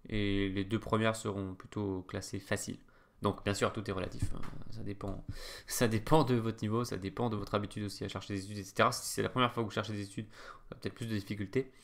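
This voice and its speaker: Casual male chess teacher, low and gravelly, halting delivery full of fillers. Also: level tone. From France. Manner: hesitant, lots of fillers and restarts. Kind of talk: casual screen-share lesson.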